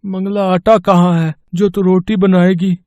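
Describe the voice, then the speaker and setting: A man's voice: high-pitched, little pitch movement, unhurried, mellow, no room sound, lo-fi sound. A man playing a poor, anxious village father in a cartoon story, earnest and pleading. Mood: worried. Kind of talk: Hindi cartoon story voice acting.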